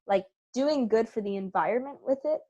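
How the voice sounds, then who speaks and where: A woman's voice: fairly high pitch, expressive, a little fast, a little mellow, muffled recording. A woman speaking as an interview guest on a video call, casually thinking aloud with little asides. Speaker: interview guest, theater company member.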